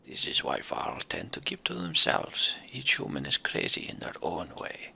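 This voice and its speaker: A man voicing a weary, wary giant warrior in a fantasy game, with a gruff, grave manner. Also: extremely low pitch, big pitch swings, normal speed, sharp tone, whispered, voice pushed down, heavily acted. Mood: weary disdain.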